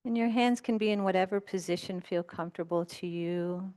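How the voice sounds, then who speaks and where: A woman leading a guided meditation as a teacher, speaking in gentle, unhurried instructions with long pauses. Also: on the low side.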